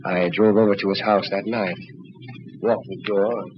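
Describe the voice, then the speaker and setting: An actor playing a grave, cautious middle-aged doctor, explaining solemnly in 1940s radio drama style. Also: male voice, on the low side, little pitch movement, measured pace, lightly acted. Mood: solemn, ominous. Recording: muffled, noisy old radio recording.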